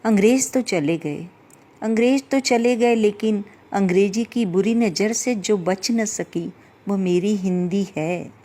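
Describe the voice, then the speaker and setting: Slow, mellow-voiced woman reciting a poem. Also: medium pitch. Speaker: poetry reciter.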